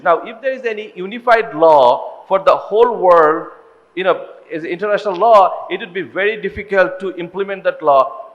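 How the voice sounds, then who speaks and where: A high-pitched man from Bangladesh, giving a measured, explanatory panel answer full of "you know" fillers. His voice rings with a long reverb in a large ballroom. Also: fairly bright tone.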